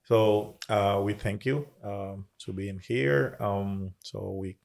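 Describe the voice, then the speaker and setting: A pastor from Honduras, answering interview questions in a halting way with many pauses. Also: male voice, fairly low pitch, gravelly, darkish tone. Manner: halting, many fillers and restarts.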